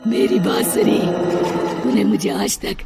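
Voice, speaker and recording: A woman's voice: unhurried, natural voice. A woman voicing a wicked, gloating witch in a cartoon story, theatrical and menacing. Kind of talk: Hindi cartoon villain acting. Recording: music under the voice.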